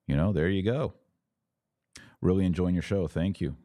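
Deep-voiced man hosting a podcast. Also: normal speed, warm tone, no echo, clean studio sound. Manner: conversational, direct, urging listeners. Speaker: podcast host. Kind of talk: casual live commentary, reading and answering viewer comments.

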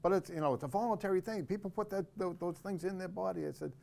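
A man's voice: sits high, fast, vocal fry, a little mellow, slight background noise. A middle-aged man, a corrections officer and podcast guest, explaining things thoughtfully in casual conversation, often restarting his sentences.

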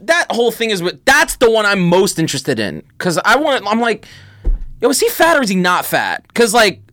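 A man's voice: high-pitched, wildly swooping pitch, moderate pace, fairly bright tone, no room sound. A man chatting casually about sports as a podcast co-host. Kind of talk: casual sports and sneaker chat.

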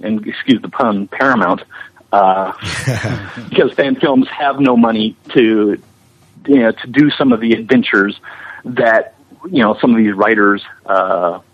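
A man speaking as an interview guest, explaining his animation work with frequent pauses mid-phrase. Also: lowish voice. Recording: muffled, lo-fi audio.

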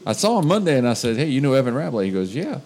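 A man's voice: medium pitch, big pitch swings, rapid-fire, a little mellow, slight background noise. A man co-hosting a podcast in casual chat, rambling with many uh's and restarts. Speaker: podcast co-host.

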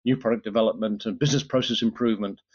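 A man's voice: on the low side, steady tone, racing, husky. A man with a British accent, a guest in a podcast interview, speaking thoughtfully with frequent restarts. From United Kingdom. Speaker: guest, solutions architect. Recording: slightly dull lo-fi audio.

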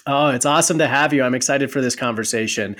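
A man's voice: medium pitch, fast, a bit bright. A man hosting a podcast, introducing his guest and asking her questions in an upbeat way. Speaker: podcast host. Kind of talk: podcast interview.

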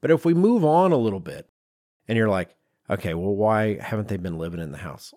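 A man hosting a podcast, explaining design history in an informal, conversational, animated storytelling way with acted-out asides. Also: fairly low pitch, fairly quick, dry recording, clean studio sound. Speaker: podcast host.

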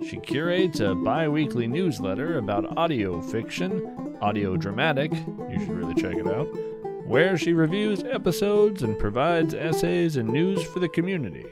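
A man hosting a podcast, reading scripted narration in an expressive, folksy manner. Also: on the high side. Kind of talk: scripted narration and credits read. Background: plucked string music.

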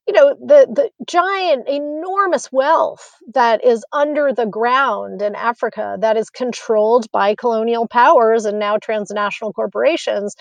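A woman's voice: a little high, vocal fry, no room sound, lo-fi sound. An American woman in her fifties, a physician, answering interview questions in an earnest, reflective way with many fillers and restarts. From United States. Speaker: physician and guest.

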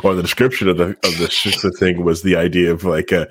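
A man with a low, husky voice talks casually as a podcast co-host. He speaks haltingly, circling back over words and phrases as he goes, and the sound is close and dry. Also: flat delivery, fairly bright tone, no room sound.

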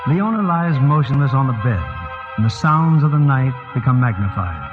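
A man's voice: medium pitch, animated, normal speed, over music. An American man hosting and narrating in a scripted 1940s radio announcer style. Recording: muffled old radio broadcast.

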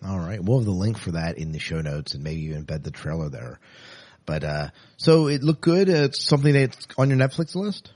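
Low-pitched male podcast host, dry close sound. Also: big pitch swings, fairly quick, darkish tone, no echo. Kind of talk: casual chat about news.

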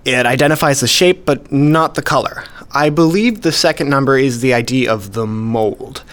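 A man's voice: medium pitch, normal speed, a bit bright, close to an everyday voice. An actor playing a modest, precise male hobbyist collector, explaining things methodically in a radio play. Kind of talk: scripted dialogue, explaining.

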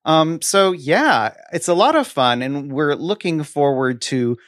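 A man's voice: on the high side, lively intonation, unhurried, fairly bright tone, no room sound, studio quality. A middle-aged man speaking as a podcast host in a casual, conversational style.

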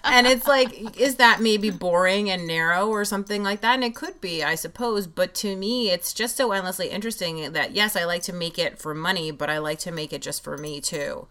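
Host, woman, low voice, reflective and keen, chatty interview style. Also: moderate pace, hardly any pauses, pushed and bright. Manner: reflective and enthusiastic, with many fillers. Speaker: podcast host. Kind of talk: conversational interview.

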